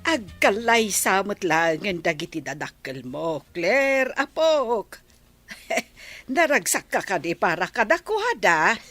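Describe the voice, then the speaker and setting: A woman's voice: medium pitch, sing-song, takes it slowly, heavily acted. A woman with an Ilocano accent playing a doting, pious old village grandmother in a radio drama. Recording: soft music bed behind.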